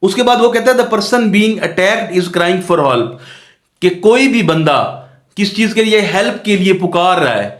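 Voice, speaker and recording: Male law tutor, unhurried and didactic delivery with English terms mixed in, roomy echoing recording. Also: high-pitched, animated. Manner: didactic, repeats phrases and asks rhetorical check questions. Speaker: law lecturer. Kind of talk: explanatory lecture mixing in English legal terms. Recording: echoey, roomy sound.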